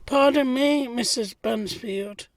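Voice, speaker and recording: A woman playing a plain-spoken, kindly young man in a 1919 audio drama, earnest and measured. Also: fairly high pitch, no echo.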